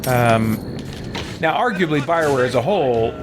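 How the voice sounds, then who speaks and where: A man's voice: mid-range voice, animated, moderate pace, noisy background. A man chatting casually as a game streamer, thinking aloud in an informal, rambling way. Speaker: streamer playing and commenting on a video game. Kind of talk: casual live game commentary chat. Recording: game music behind the voice. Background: video game music.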